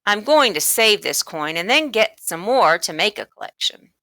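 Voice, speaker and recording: A woman voicing an eager, polite young boy in a children's storybook, speaking in an earnest, halting way. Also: mid-range voice, sing-song, sharp tone, dry recording. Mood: hesitant. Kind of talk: children's storybook read-aloud.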